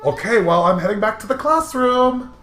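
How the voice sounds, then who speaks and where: A man's voice: high voice, expressive, moderate pace, fairly bright tone. A man co-hosting a comedic let's play, reading game text aloud with mock drama and jokey, casual banter. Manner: playful, mock-dramatic, jokey, often laughing. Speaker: co-host of a visual novel let's play. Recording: roomy echo with music behind.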